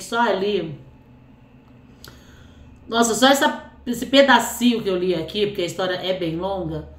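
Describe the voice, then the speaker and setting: A woman with a cold and a hoarse voice, hosting a storytelling channel and reading a listener's life story aloud between chatty asides full of little fillers. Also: medium pitch. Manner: chatty, fills speech with "né" and "assim". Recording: echoey room.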